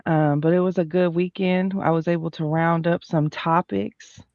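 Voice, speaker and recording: A woman's voice: lowish voice, fairly even intonation, normal speed, darkish tone, no room sound. A woman hosting a podcast, chatting casually as she lays out the topics. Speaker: podcast host. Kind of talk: casual chat. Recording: dull, dry lo-fi sound.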